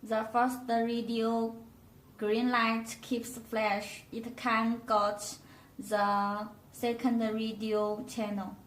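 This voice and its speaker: High-voiced woman demonstrating in an echoey room. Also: level tone. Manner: halting non-native phrasing. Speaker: product demonstrator. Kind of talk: step-by-step product tutorial. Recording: roomy echo, distant microphone.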